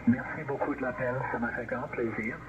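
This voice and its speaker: A man's voice: medium pitch, steady tone, a little fast. A man making an amateur radio contact over shortwave, repeating key words and figures for clarity. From born in England. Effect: shortwave radio transmission. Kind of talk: amateur radio contact, exchanging signal reports. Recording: muffled band-limited shortwave radio, loud static. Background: loud radio noise.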